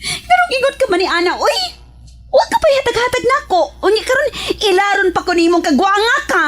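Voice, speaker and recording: A woman with a Cebuano accent acting a fed-up, quick-tempered wife who scolds emotionally in a radio drama. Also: very high voice, sing-song, a bit bright, full character voice. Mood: scolding, indignant.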